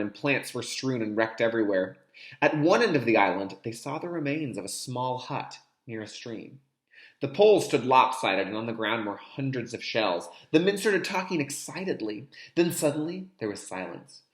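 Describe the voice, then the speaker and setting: A man reads a story aloud for kindergarteners in a roomy, echoing space. His delivery is dramatic and very lively in pitch, with the clear, explanatory manner of a teacher. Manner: expressive, dramatic delivery, explains words to young listeners.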